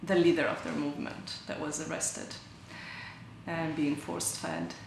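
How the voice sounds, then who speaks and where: A woman's voice: low voice, very animated, measured pace, a little hiss or hum. A woman artist from Brazil explaining her work thoughtfully, searching for words and correcting herself. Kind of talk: interview about her artwork. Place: large gallery hall indoors. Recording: echoey gallery hall.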